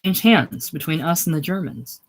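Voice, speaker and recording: A man's voice: a little high, expressive, moderate pace. A man reading a war novel plays an ailing infantry soldier. He talks to his buddy in a plain, offhand, matter-of-fact way.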